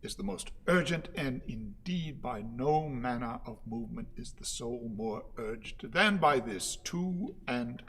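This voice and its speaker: An actor playing a servile, pompous orator in a radio play, with a declamatory, halting, pleading manner. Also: male voice, medium pitch, expressive, background noise, natural voice. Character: servile, pompous male orator, anxious before his lord. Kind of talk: theatrical radio play acting.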